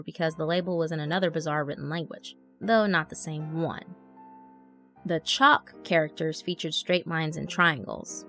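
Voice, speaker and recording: A low-pitched actress as a chatty young woman blogger in a creepy horror story. She sounds conversational and wry, with expressive delivery, over quiet background music. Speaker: narrator. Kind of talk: scripted horror story narration. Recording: music under the voice.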